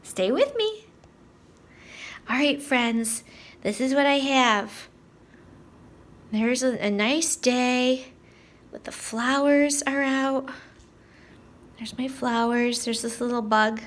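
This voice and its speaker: A woman's voice: high voice, slow pace, pauses often. A woman teacher leading story time for young children, talking to them directly in a sing-song way with simple questions.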